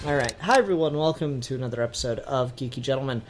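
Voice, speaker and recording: Podcast host, young man, mid-pitched voice, loose and chatty, quick to tease and swear. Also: faint music behind. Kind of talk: casual chat.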